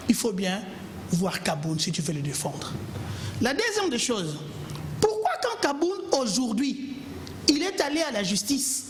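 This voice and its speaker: A man's voice: very high voice, a bit bright, roomy sound, a little hiss or hum. A man from Kongo Central, arguing emphatically as a panelist in a political TV debate. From Kongo Central, DR Congo. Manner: impassioned, emphatic, pleading. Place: TV studio.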